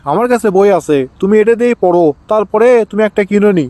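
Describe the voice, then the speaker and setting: A man's voice: high-pitched, fast talker, warm tone, a little hiss or hum, lightly acted. A man voicing a pious, fatherly village Quran teacher who corrects his pupil, in comic cartoon dialogue with a Bogura accent from northern Bangladesh. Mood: correcting. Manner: instructive, correcting.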